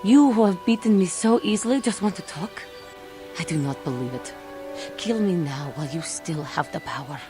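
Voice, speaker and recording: A tormented fallen Jedi woman played by an actress speaks in a deep, low female voice. She is proud and bitter, theatrical and intense in the manner of video game drama, over clearly audible music. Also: animated, normal speed, natural voice. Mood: bitter, despairing. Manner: intense, theatrical, formal phrasing.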